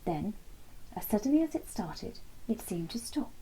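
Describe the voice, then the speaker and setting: A woman reading a children's bedtime story aloud in an expressive, deliberate storytelling manner, with a soft, breathy voice. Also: mid-range voice, a little hiss or hum, rough recording. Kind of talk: scripted read-aloud story narration.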